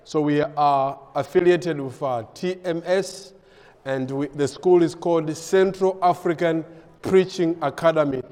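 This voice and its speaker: A man from Malawi, a pastor and missionary in his thirties or forties, giving a ministry update with frequent pauses and fillers. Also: fairly high pitch, a little mellow, long reverb tail. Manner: hesitant, many filler pauses.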